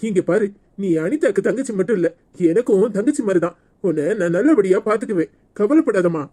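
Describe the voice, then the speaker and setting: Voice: mid-range voice, expressive, a little fast, mellow, natural voice. An actor playing a male village brother-in-law in a melodrama, protective and earnest but short-tempered. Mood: consoling. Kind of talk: melodramatic village serial acting.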